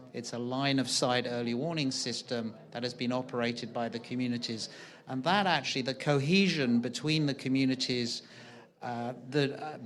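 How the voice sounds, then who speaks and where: A man speaking on a conference panel, thoughtful and unhurried, with frequent hesitations. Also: medium pitch. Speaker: panel speaker on early warning systems.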